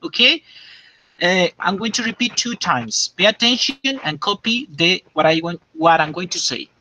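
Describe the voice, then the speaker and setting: A man teaching English, dictating slowly and checking often that students understand. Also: high-pitched, lively intonation, bright, forceful tone. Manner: slow and repetitive, checking understanding. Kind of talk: classroom dictation lesson. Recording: dull lo-fi classroom recording.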